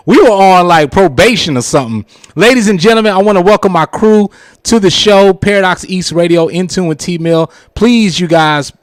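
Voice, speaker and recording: A man's voice: high-pitched, no echo. A man hosting a casual live radio show, with showy introductions, on a close studio mic. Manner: showy introductions with repeated catchphrases.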